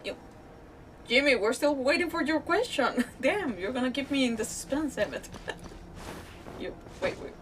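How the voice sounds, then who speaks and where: A woman's voice: high voice, expressive, moderate pace, pauses often, slight background noise. A woman doing casual live gameplay commentary as a streamer, thinking aloud with mild swearing. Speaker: game streamer. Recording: distant mic, loud game music. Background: loud game music.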